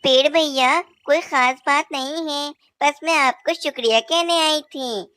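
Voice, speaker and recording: A woman's voice: sits high, a little slow, sharp tone, no echo. A woman voicing a cheerful young female bird who sells mangoes, polite and eager. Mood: grateful. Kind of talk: cartoon voice acting.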